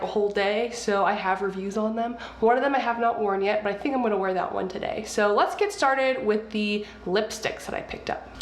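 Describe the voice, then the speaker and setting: Young woman, stuffed-up nose, chatty and gushing, high-ish voice, roomy echo. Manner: chatty, gushing, many fillers. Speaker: beauty vlogger. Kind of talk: casual makeup review. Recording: echoey room.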